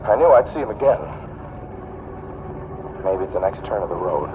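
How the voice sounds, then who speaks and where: A man acting a haunted, anxious motorist in a 1940s radio suspense drama, speaking deliberately with tense hesitations. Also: sits low, lively intonation. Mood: brooding dread. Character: haunted male motorist, increasingly anxious, narrating his journey. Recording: scratchy, tinny 1940s radio recording, noisy.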